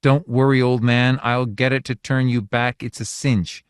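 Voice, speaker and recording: A man's voice: medium pitch, level tone, moderate pace, clear, resonant tone, no echo, voice pushed up, natural voice. A man voicing a nervous young husband who pleads and frets, read dry and close. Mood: gloomy. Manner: nervous, imploring.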